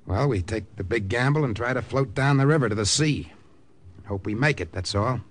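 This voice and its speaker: An actor playing a cool, determined American agent, measured and serious, acting and narrating in old radio drama style. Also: male voice, medium pitch, lightly acted. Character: cool, determined American spy leading a sabotage mission.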